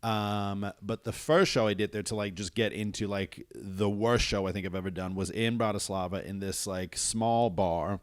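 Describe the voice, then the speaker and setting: A man's voice: lowish voice, fairly even intonation, moderate pace, few pauses, no echo, studio quality. Dutch-raised man with an American accent. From Netherlands. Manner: laughs through his stories. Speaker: comedian guest. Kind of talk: casual podcast chat.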